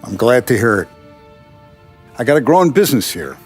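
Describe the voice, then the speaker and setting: An actor playing a paternal, authoritative Italian-American mafia boss in a 1930s crime game, speaking deliberately. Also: male voice, medium pitch, sing-song, slightly rough. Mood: stern, instructive. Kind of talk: cinematic video game acting. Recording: music behind the voice.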